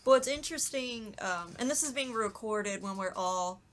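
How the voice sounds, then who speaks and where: A woman's voice: medium pitch, expressive, normal speed, a bit bright. A woman who performs, chatting casually on a podcast talkback. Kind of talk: casual chat. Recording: faint background noise.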